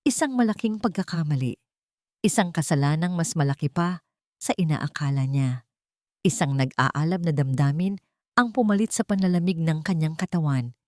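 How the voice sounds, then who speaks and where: Low-voiced woman narrating drama. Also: normal speed, a little mellow, no room sound, studio quality. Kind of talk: scripted dramatic audio story narration.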